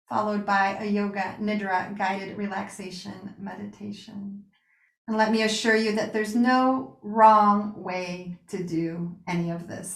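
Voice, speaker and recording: A woman guiding a relaxation meditation with gentle spoken instruction, pausing and reassuring in a soothing way. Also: mid-range voice, creaky. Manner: unhurried pauses, soothing reassurance. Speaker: yoga nidra meditation guide. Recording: roomy, echoing sound.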